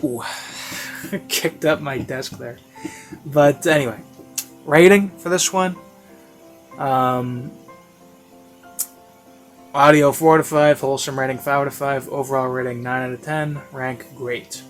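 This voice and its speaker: A man's voice: medium pitch, steady tone, a little slow, pauses often. A man giving a casual, unscripted review, rambling informally and repeating phrases for emphasis. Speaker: video reviewer. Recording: classical music playing behind voice. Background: baroque classical music.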